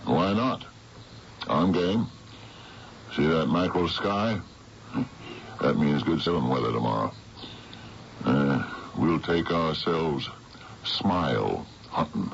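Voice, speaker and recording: An actor playing an older, folksy uncle in a radio drama, with a gravelly voice and a halting, reflective manner. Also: male voice, extremely low pitch, lively intonation, full character voice. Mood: easygoing, keen. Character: calm, folksy older uncle who sails. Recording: dull, noisy vintage radio recording.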